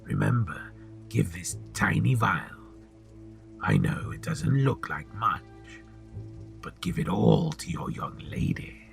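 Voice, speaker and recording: A man playing a sly old shopkeeper in an audio drama, slow and insinuating with a gravelly, whispery voice. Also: deep voice, big pitch swings, soft background music, heavily acted. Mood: sly, coaxing. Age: older. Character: sly old shopkeeper selling love potions, oily and knowing.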